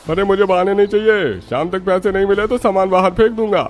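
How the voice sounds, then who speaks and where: Man acting angry, heartless village boss, raised pitch. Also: fairly quick, natural voice. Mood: angry, threatening. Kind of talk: Hindi cartoon story dub.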